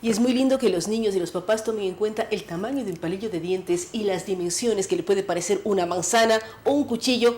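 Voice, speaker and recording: A woman hosting a TV interview, asking slow, measured questions. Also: medium pitch, a little mellow, slight background noise. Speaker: TV host.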